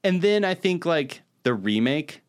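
A man's voice: a little high, very animated, unhurried. A man co-hosting a podcast, chatting casually about pop culture in an enthusiastic, opinionated way. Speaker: podcast co-host.

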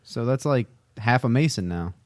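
Man in his 20s, very varied pitch, dry close recording, joking, playful, casual co-host chat. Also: lively intonation, normal speed, no echo. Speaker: podcast co-host.

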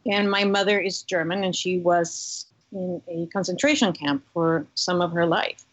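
A woman's voice: lowish voice, fairly even intonation, normal speed, dull audio. A woman from the United States, a guest on a podcast, speaking in an earnest, articulate way. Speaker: guest, religious freedom advocate. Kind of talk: podcast interview.

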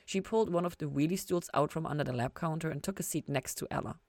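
A woman narrates fiction in a fairly low voice. Her scripted reading is expressive, with dramatic emphasis on key phrases. The sound is dry, with no room around it. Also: fast talker, no echo. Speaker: podcast host reading fan fiction.

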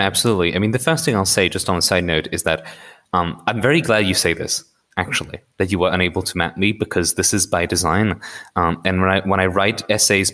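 A man's voice: low voice, fairly bright tone. A man from Morocco, a political theorist interviewed on a podcast, speaking thoughtfully with frequent restarts and hedges. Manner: thoughtful, hedging with many restarts.

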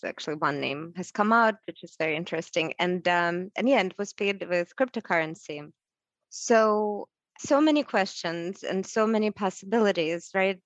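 A woman chats animatedly as a guest, tossing questions back to the others. Her voice is a bit low for a woman. The recording is dull and muffled, with a dry, close sound. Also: dry recording. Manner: chatty and animated, poses questions. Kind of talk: conversational interview.

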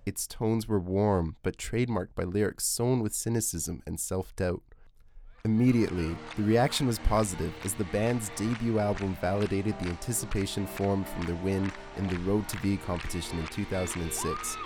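A man hosting a podcast, reading scripted music-documentary narration in a measured, essay-like way. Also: sits low, huge pitch swings. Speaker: podcast host. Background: music under the voice.

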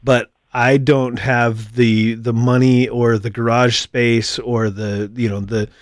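American guy podcast host, chatty interview, rephrases a lot while thinking out loud, dry close sound. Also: medium pitch, level tone, dry recording. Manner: wordy, halting and rephrasing as he thinks aloud. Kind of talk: casual conversational interview.

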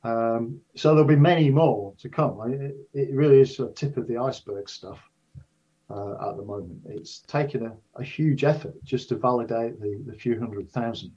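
Older man, husky voice, dull audio. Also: mid-range voice, mellow. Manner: measured, careful explaining with hedged, restarted phrases. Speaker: interview guest, astrophysics professor. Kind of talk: unscripted expert interview.

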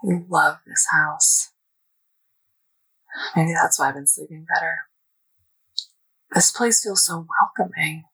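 A woman plays a dreamy young woman speaking into her sleep diary in a low, gravelly, breathy voice. The sound is also bright and edgy. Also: fairly even intonation, slow, long pauses, exaggerated voice, rough recording. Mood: reflective. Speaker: diarist. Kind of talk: sleep diary monologue in an audio drama.

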